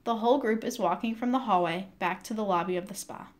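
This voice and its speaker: Female narrator for an audio drama script, mid-pitched, reading stage directions. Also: fast talker.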